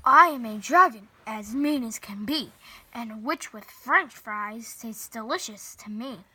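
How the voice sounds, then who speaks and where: A woman's voice: on the high side, expressive, unhurried, sharp tone, breathy edge, full character voice. A woman voicing a mean, hungry, boastful male dragon in a picture book read-aloud. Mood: menacing.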